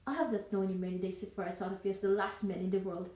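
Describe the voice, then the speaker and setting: A woman playing a proud, indignant young gentlewoman in a theatrical period monologue, speaking in a formal, emphatic, accusing way. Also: medium pitch, fairly even intonation, quick pace, lightly acted. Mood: scornful. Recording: roomy echo, distant mic.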